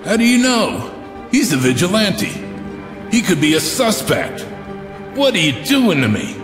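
A blunt, scornful police commissioner played by a man in an audio drama. His fairly high voice swings widely with exasperation, ringing in a reverberant space. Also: sing-song, unhurried. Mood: indignant, exasperated. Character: gruff, indignant male police commissioner pulling rank. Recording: echoey hall reverb, music behind.

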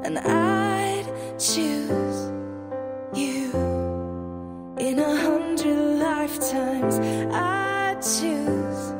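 A woman speaks tender wedding vows, very slowly and drawn out. Her voice sits very high. Loud music plays beneath the voice-over.

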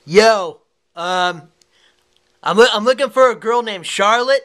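A man's voice: high-pitched, unhurried, fairly bright tone, clear, resonant tone, pitched above the performer's own voice. A man on a prank phone call voicing an angry, aggrieved man hunting for his girlfriend, blunt, confrontational and repetitive. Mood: gruff, probing. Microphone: camera held close to the face.

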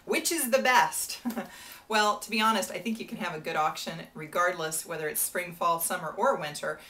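A woman's voice: lowish voice, normal speed, bright, forceful tone. A woman in her thirties from the United States, a benefit auctioneer giving friendly, chatty advice straight to camera in a small room. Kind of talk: casual to-camera advice talk. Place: small indoor room before a whiteboard.

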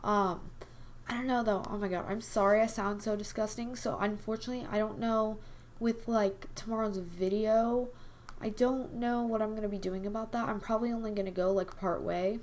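A young woman hosting a casual game video, her voice hoarse and quiet from a cold, rambling with lots of fillers. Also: medium pitch, fairly even intonation, moderate pace, slight background noise. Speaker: gaming channel host. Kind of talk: casual chat over gameplay. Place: home desk at night.

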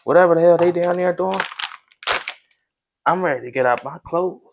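A young man chatting casually to his vlog viewers while he works in his kitchen at home. He talks in a relaxed, chatty way and keeps calling the audience "y'all". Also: sits high, normal speed, background noise. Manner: laid-back, chatty, addresses viewers as y'all. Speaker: vlogger.

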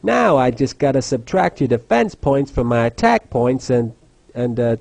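A man voicing a slow-witted, brash, eager teenage duelist in a cartoon parody dub, with slangy delivery. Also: mid-range voice, very animated, gravelly, darkish tone, dry recording. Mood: dim, eager.

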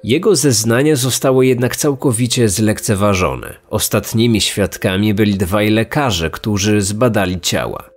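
A man's voice: fairly low pitch, measured pace, hardly any pauses. A man reading a scripted true-crime narration as a podcast narrator. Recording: music under the voice. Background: background music.

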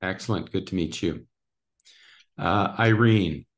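A man's voice: low-pitched, unhurried. A man hosting online introductions on a video call, calling on people by name and apologizing for mispronouncing them. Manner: apologetic about pronouncing names. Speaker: workshop host. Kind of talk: casual online meeting introductions.

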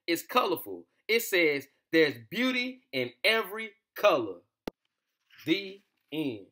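A man's voice: high-pitched, very animated, slow, noisy background. A young American man hosting a children's bedtime read-aloud in an informal, slangy way. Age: young adult. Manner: informal, slangy, addressing listeners familiarly. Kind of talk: casual chat to children and storybook reading. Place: small room at home, indoors. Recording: echoey room, distant camera microphone.

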